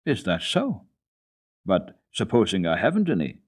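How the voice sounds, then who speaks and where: A man playing a kindly, gruff old mountain grandfather, plain-spoken and approving, in a children's story from an old radio recording. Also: sits low, huge pitch swings, a little slow, exaggerated voice. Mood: questioning. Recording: muffled, lo-fi archival radio recording.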